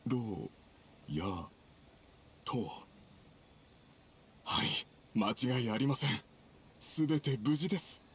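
An actor playing a humble young merchant in an anime game, earnest, formal and eager to please. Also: male voice, mid-range voice, very animated, very slow, pauses often, a little hiss or hum. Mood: relieved, earnest.

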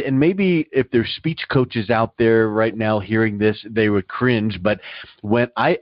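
A man's voice: medium pitch, no room sound, lo-fi sound. A middle-aged man hosting a casual interview, self-assured and emphatic, repeating words to stress his points. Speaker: podcast host and interviewer.

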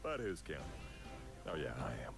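A cocky cartoon balloon pilot, all boastful swagger and not much brain. His pitch leaps and dives wildly as he brags and flirts, with prominent game music playing. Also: huge pitch swings, normal speed, exaggerated voice. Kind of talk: cartoon video game acting.

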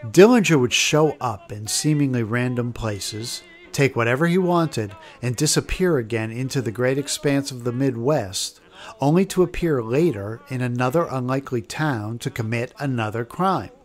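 A man's voice: mid-range voice, lively intonation, normal speed. A man reading scripted documentary narration as the narrator. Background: music.

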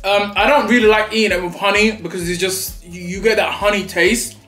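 A man's voice: high-pitched, fairly even intonation, brisk, pushed and bright, reverberant, soft background music. A young man, chatting dramatically and playfully in a food vlog while eating at a table. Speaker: vlogger. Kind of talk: mukbang vlog chat. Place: small indoor studio set at a table.

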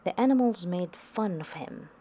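A woman reading a picture book aloud to young children, in a deliberate storytelling delivery. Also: on the low side, animated, dark tone, a little hiss or hum. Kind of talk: read-aloud storybook narration.

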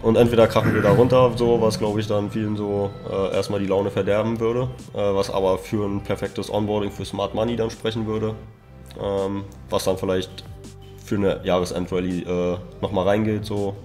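A young man co-hosting a casual market chat, relaxed and hesitant with many fillers. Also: fairly low pitch, moderate pace, soft background music. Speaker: co-host of a crypto trading show. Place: indoor room, seated at a table.

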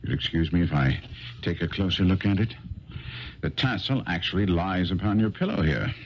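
An actor playing a shrewd, methodical gentleman detective in a 1970s radio drama, musing with hums and probing questions. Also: low voice, lively intonation, moderate pace. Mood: probing, intrigued. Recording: dull, noisy 1970s radio recording.

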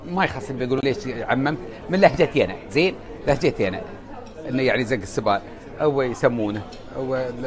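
Emirati guy vlogging from his hotel room, earphone mic, fairly high voice, people chattering in the background. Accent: Emirati Arabic. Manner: chatty, animated, rising to emphatic outbursts. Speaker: social media vlogger. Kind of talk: casual selfie vlog chat. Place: indoors by a window, hotel room. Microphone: wired earphone mic, phone at arm's length.

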